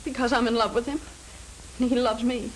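Actress as a high-voiced, pleading lovestruck young woman. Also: steady tone, fairly quick, natural voice, rough recording. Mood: devoted, urgent. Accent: American. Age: young adult. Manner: earnest, imploring. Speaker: film actor. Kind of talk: 1930s film melodrama acting. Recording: hissy 1930s film soundtrack.

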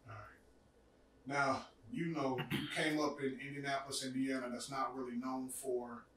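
Flat-toned man heard far off in an echoey room. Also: medium pitch, a little slow, a little hiss or hum. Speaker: interviewer. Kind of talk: interview questions. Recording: echoey, distant off-camera mic.

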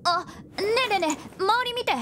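Woman as a high-pitched cheeky boy companion. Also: fairly quick, sharp tone, a little hiss or hum. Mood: uneasy. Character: young boy companion, cheeky, caring, quick to fret. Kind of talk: Japanese voice drama acting.

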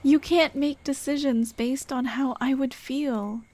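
A woman playing a tender, playful late girlfriend in an ASMR roleplay, speaking in a gentle, coaxing, teasing way. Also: sits high, fairly even intonation, measured pace. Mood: wistful, gentle. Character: tender, playful dead girlfriend comforting her grieving partner. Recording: clear ambient noise behind. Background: ambient sound effects.

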